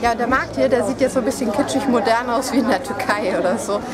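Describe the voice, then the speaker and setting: Higher-voiced woman over music and street bustle. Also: fast. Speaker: travel vlogger. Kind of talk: casual travel narration. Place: outdoors on city streets and in a market. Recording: outdoor camera sound, music and street bustle. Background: loud music, crowd and street noise.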